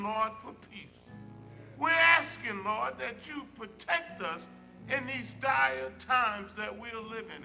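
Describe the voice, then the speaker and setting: An older man, a church minister, leads a public prayer from a pulpit in measured phrases with emphatic repetition. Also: very high voice, creaky, pushed and bright, poor audio. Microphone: pulpit microphone.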